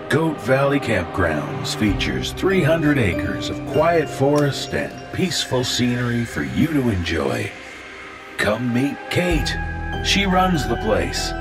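An actor voicing a welcoming campground advert announcer with veiled menace, deliberate and theatrical, for a horror audio drama. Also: male voice, medium pitch.

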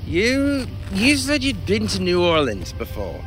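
A man playing an anxious, exasperated tourist who speaks haltingly in an audio drama. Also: high-pitched, wildly swooping pitch, a bit bright, full character voice. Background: music.

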